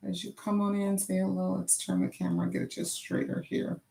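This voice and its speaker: A middle-aged woman with a hoarse voice, hosting a casual crafting livestream and chatting to viewers as she works. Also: medium pitch, big pitch swings, brisk, a little hiss or hum. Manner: chatty, greets viewers warmly and often. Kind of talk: casual live craft chat.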